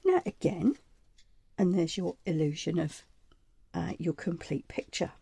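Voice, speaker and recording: A woman hosting a casual craft tutorial, chatty and meandering, her voice croaky from a chest cold. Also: fairly low pitch, expressive, unhurried, mellow, no room sound. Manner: chatty and meandering, with frequent ums and asides. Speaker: craft channel host.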